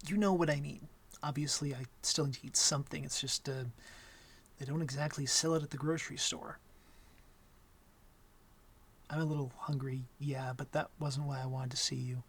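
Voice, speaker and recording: A young man playing an awkward, gentle vampire in an intimate ASMR roleplay, talking softly and hesitantly with a wry streak. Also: on the high side, husky. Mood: awkward, tender. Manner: hesitant, soft-spoken, wry.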